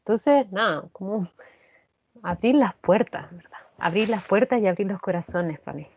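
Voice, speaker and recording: A woman's voice: medium pitch, animated, normal speed, a little mellow. A woman with a Chilean Spanish accent, talking casually and earnestly as an interview guest. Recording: dull, muffled lo-fi line.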